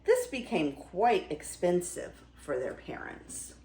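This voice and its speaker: Older woman storyteller, very animated, roomy sound. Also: lowish voice, slow pace, slight background noise. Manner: theatrical, dramatic storytelling with emphasis. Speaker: grandmother reading stories to her granddaughter. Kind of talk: expressive fairy tale read-aloud.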